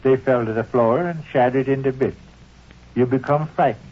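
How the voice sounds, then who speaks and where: A man's voice: mid-range voice, moderate pace, natural voice. Casting: male actor as stubborn, wordy American dad and proud tinkerer, 1940s radio comedy, dull old recording. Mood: mock-solemn. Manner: wordy, mock-formal phrasing. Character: fussy, stubborn middle-aged father, proud home tinkerer.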